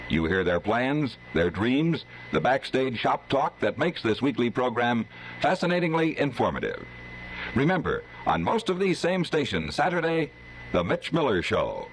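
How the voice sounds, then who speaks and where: A man's voice: mid-range voice, rough recording. A man reading a scripted radio promo as an announcer, with a polished, emphatic delivery. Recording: faintly hissy old radio recording.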